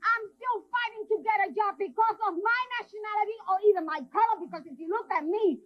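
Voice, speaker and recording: A woman's voice: very high voice, fast talker, lo-fi sound. A middle-aged woman from Puerto Rico arguing insistently and combatively in a heated live debate, repeating herself. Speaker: audience member.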